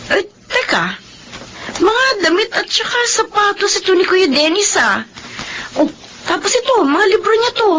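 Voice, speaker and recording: An actress playing a tender, emotional younger sister with a Filipino accent in a radio drama. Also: female voice, extremely high pitch, sing-song, measured pace, long pauses, sharp tone, a little hiss or hum, exaggerated voice. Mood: breezy, curious.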